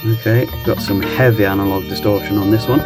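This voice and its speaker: Low-voiced man chatting casually. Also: fairly even intonation, brisk, loud music behind the voice. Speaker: host of a reaction video channel. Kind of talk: casual chat reacting to analog horror videos.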